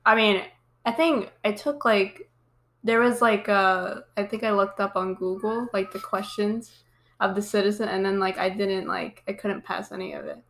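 A woman's voice: medium pitch, steady tone, moderate pace, a bit bright. Chatty young woman hosting a podcast. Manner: chatty, many fillers and likes. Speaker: podcast host. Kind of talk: casual chat.

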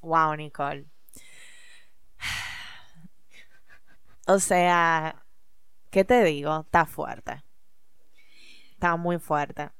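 A woman's voice: deep voice, steady tone, very slow, long pauses, a bit bright, clean tone, no room sound, studio quality. A woman co-hosting a podcast, talking in a reflective, emphatic and encouraging way. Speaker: podcast co-host. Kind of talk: casual chat.

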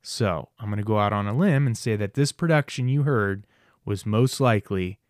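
A man's voice: lowish voice, normal speed, no room sound, clean studio sound. A man hosting a podcast in a scripted, playfully theatrical narrating style. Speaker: podcast host. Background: music behind the voice.

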